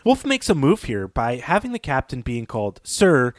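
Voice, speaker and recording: A man's voice: mid-range voice, wildly swooping pitch, moderate pace, no echo, studio quality. A man co-hosting a literary podcast, talking through a story in a conversational, thoughtful, explanatory way. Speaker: podcast co-host.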